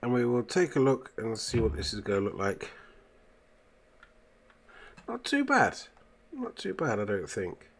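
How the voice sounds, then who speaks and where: A man's voice: medium pitch, wildly swooping pitch, measured pace, long pauses. A man with a congested voice from a cold, giving casual gameplay commentary and muttering questions to himself as he goes. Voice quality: congested, bunged-up nose. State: full of a cold. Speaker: gaming video host. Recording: clear background noise, keyboard clicks.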